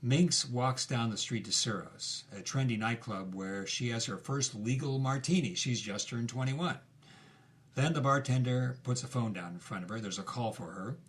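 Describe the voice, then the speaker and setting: A man with a medium-pitched voice narrates a story. He delivers scripted lines in the present tense, in the role of a podcast storyteller. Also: moderate pace.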